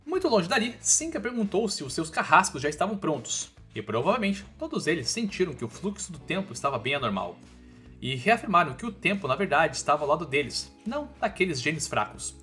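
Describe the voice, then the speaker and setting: Lively, bright Brazilian male narrator. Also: a little high, fairly quick. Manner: colloquial, informal. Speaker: recap channel narrator. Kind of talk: scripted story recap narration with casual asides. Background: background music.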